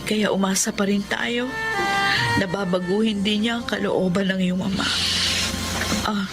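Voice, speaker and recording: An actress playing a devoted, self-sacrificing young wife in a radio drama, speaking earnestly and tenderly. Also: female voice, medium pitch, steady tone, unhurried, close to an everyday voice. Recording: clear music bed behind.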